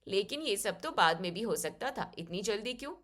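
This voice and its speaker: A woman's voice: medium pitch, animated, brisk, a bit bright. A woman playing a kindly elder sister-in-law who speaks with familiar concern in a family audio story. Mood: surprised, concerned.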